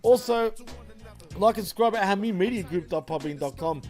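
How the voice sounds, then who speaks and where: A man's voice: sits high, lively intonation, normal speed, a little mellow, faint music behind. A man from Australia with an Australian accent, co-hosting a casual late-night wrestling podcast, laid-back and laughing often, swearing freely. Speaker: podcast co-host.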